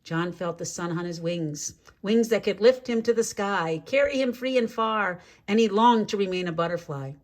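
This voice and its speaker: A woman reading a children's story aloud in an expressive, measured way, drawing out key words for drama. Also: medium pitch. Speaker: storybook reader.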